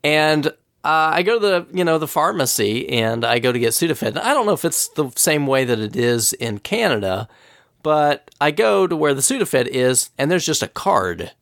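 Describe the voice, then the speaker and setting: A man hosting a casual podcast, nasal and scratchy from a cold. His pitch swings a lot as he tells a story, and his voice has a gravelly edge. The recording is dry and close. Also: normal speed, no room sound. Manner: chatty storyteller, laughs often, animated retelling. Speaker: podcast host.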